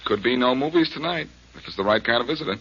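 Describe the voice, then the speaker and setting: An actor playing a cool, dry-witted detective in a 1940s radio drama, full of teasing wisecracks. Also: male voice, medium pitch, expressive, quick pace, a bit bright, a little hiss or hum. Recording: dull lo-fi 1940s radio broadcast.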